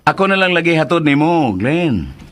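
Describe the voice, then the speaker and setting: An actor playing a pushy, jealous rival in a Filipino radio drama, husky-voiced, aggressive and taunting. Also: male voice, fairly high pitch, big pitch swings, moderate pace, fairly bright tone.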